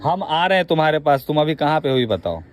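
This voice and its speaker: A man's voice: a little high, big pitch swings, fast talker, a little hiss or hum. A man with a Bihari accent in an informal phone conversation, asking pressing questions in a colloquial way and cutting in. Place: phone call.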